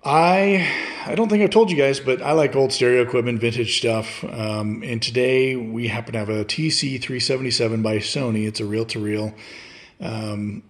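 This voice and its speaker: A man's voice: mid-range voice, normal speed. A man with a mid-range voice hosts a casual DIY repair video. He speaks informally, in laid-back everyday wording, explaining things as he goes. The sound is that of a handheld camera in a room at home. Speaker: host of a DIY repair channel.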